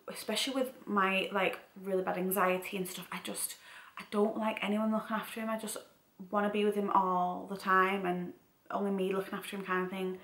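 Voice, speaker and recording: A young woman with a bright, forward voice and a Mancunian accent that has picked up some Scouse. She talks in a casual, chatty vlog style, circling back over her points. The sound is roomy, with noticeable echo from an indoor space. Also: medium pitch. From Manchester, England. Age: young adult. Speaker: vlogger. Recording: roomy, echoey home room.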